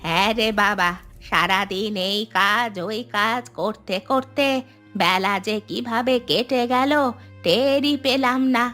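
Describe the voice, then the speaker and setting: A woman's voice: medium pitch, slow, pushed and bright, soft background music. A woman voicing a hardworking, homely elderly village mother in a cartoon, given to grumbling explanations. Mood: weary, grumbling. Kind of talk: Bengali cartoon story dialogue.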